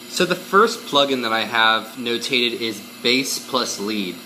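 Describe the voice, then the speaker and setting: Man explaining gear in a bright voice. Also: mid-range voice, animated, a little hiss or hum, poor audio. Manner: hesitant, pausing mid-phrase, explanatory. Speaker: musician-composer giving an instructional explanation. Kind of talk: informal tutorial demonstration.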